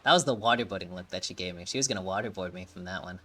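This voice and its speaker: A woman's voice: very deep voice, very fast, a bit bright. A woman giving casual, sarcastic gameplay commentary with frequent swearing. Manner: swearing, sarcastic, laughing asides. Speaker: gameplay streamer.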